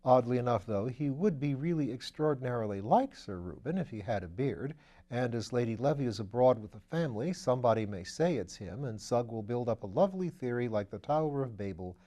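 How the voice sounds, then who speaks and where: A level-headed young detective, played by a male reader, with a mellow, mid-pitched voice. He talks in a matter-of-fact, conversational way, understated, as an audiobook character. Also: expressive, a little fast, close to an everyday voice.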